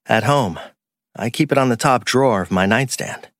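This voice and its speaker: A man's voice: medium pitch, normal speed, a bit bright, dry recording, natural voice. A polite working man in a crime audiobook, voiced by a man. He is guarded and matter-of-fact, recorded dry and close. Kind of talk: acted audiobook dialogue.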